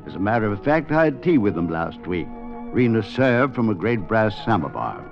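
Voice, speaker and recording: An actor playing an urbane, gossipy Hollywood storyteller, narrating a 1950s radio drama. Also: male voice, on the low side, sing-song, moderate pace, dull audio, music in the background. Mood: genial, wry. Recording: band-limited 1950s radio recording.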